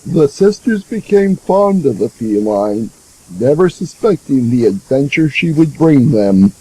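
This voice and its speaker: A man narrating a scripted fairy tale as a storyteller, in a measured way. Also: mid-range voice, sing-song, dark tone, breathy edge, rough recording. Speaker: author and narrator.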